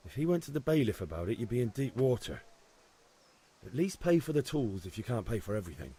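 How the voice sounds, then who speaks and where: An actor playing a confident, cheeky young blacksmith's son in a video game. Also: male voice, mid-range voice, sing-song, quick pace, warm tone, slightly rough. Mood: firm. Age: young adult.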